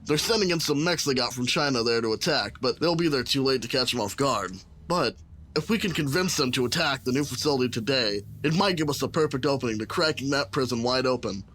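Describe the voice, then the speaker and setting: A man's voice: mid-range voice, lively intonation, a little fast, fairly bright tone. A man playing an eager, decisive warship captain in a sci-fi audio drama.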